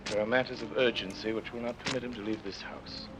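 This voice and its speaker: Man acting a grave, low-voiced avenging nobleman. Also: steady tone, quick pace, close to an everyday voice. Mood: grave, restrained. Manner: solemn, restrained. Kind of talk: 1940s radio drama acting. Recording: noisy old radio drama, distant.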